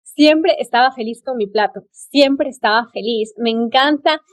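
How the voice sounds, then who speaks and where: A woman's voice: fairly high pitch, measured pace. A woman with an Ecuadorian Spanish accent hosting a casual travel conversation, effusive and given to emphatic repetition. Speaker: podcast host.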